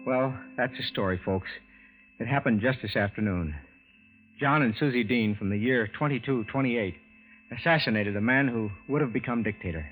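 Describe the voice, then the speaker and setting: An American man playing an excitable, stammering husband and expectant father in a 1940s radio drama. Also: mid-range voice, normal speed, faint music behind, close to an everyday voice. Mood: earnest, uneasy. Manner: breathless, stammering, emotional. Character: excitable ordinary husband and expectant father telling his story. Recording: noisy vintage 1940s radio recording.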